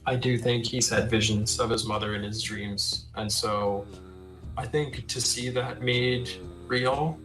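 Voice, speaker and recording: A man's voice: mid-range voice, fairly even intonation, measured pace, fairly bright tone. A man playing a tabletop game, talking casually as he reflects on his character. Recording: roomy echo, faint music.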